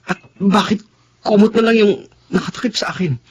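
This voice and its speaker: A man's voice: sits high, steady tone, fairly quick, darkish tone, husky. An actor playing a hesitant, flustered young man in love in a Filipino radio drama, stammering as he talks. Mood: confused, flustered. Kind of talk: Tagalog radio drama acting.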